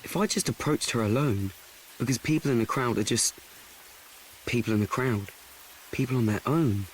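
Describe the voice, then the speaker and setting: A man's voice: medium pitch, moderate pace, a little hiss or hum, lightly acted, low-quality recording. An actor playing an anxious, awkward schoolboy who narrates his woes in a rambling radio play monologue, correcting and repeating himself. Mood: rueful confiding. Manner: rambling, repetitive, self-correcting.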